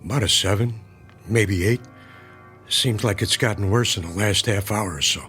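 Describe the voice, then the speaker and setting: Construction worker patient, acted by a man, male, matter-of-fact, terse, lowish voice, radio drama. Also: normal speed, fairly bright tone, faint music behind, natural voice. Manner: short, matter-of-fact answers.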